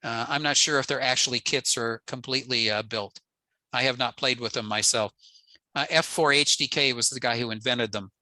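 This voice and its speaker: Very bright, crisp-edged American man presenting. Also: mid-range voice, steady tone, brisk, no room sound, rough recording. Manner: matter-of-fact, plain-spoken. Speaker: presenter, amateur radio enthusiast. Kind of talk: informal technical slide presentation. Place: indoors, on a video call.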